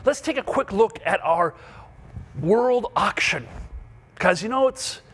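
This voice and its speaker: A man hosting a live gala fundraiser, speaking with excited, urgent, rallying energy. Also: high voice, slow, slightly rough. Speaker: fundraising host.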